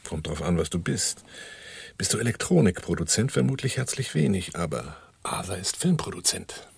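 An actor playing a cool, laconic killer and former merchant in a radio crime drama, speaking tersely with a gravelly, husky voice. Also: male voice, deep voice, very animated, normal speed, no room sound, exaggerated voice. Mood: laconic, musing. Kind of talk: German radio crime drama acting and narration.

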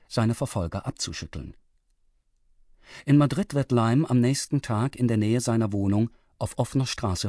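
Mellow, steady male narrator. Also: mid-range voice, no echo, studio quality. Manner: steady, even reading. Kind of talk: scripted narration recapping a radio drama.

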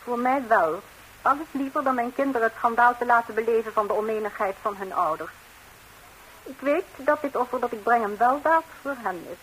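An actress playing a composed, sharp-tongued betrayed wife in a 1960s radio comedy, answering with cool, pointed deliberation on a hissy recording. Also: female voice, a little high, a little fast, close to an everyday voice, low-quality recording. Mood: resigned resolve.

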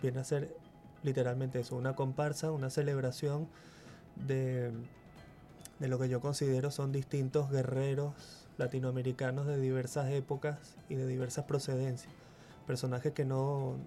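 A man's voice: mid-range voice, dark tone. A man in his forties, born in Chile, speaking as a guest muralist in a radio interview, often repeating words as he searches for them. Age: 40s. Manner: hesitant, repeats words while thinking.

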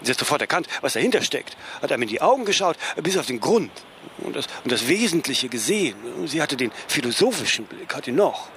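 An actor playing a vain, cantankerous celebrity philosopher in a radio play, imperious and full of reproach. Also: male voice, medium pitch, sing-song, fast, fairly bright tone, slight background noise. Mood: musing, proud. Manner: imperious, reproachful, verbal tags like "ne?". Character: vain, cantankerous celebrity philosopher, prickly about his mother. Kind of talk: German radio play dialogue.

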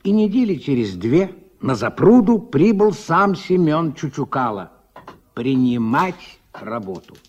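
A man narrating a radio play in a scripted, dramatic storytelling style with a husky voice. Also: a little high, very animated, measured pace, muffled recording.

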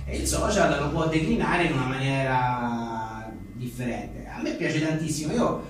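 A man's voice: medium pitch, normal speed. A man being interviewed as a writer, talking conversationally in rambling, hesitant phrases full of little tag questions. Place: echoey venue at a live book presentation. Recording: echoey room, distant mic, faint noise.

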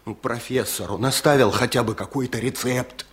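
An actor playing a weary, bitter, gruff village man in a Soviet radio drama. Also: medium pitch, normal speed, close to an everyday voice.

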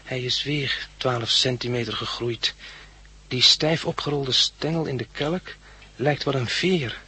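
A man's voice: mid-range voice, a little slow, pushed and bright, breathy edge, exaggerated voice. An actor playing an earnest man who recounts his studious youth with precise diction in a 1960s Dutch radio drama.

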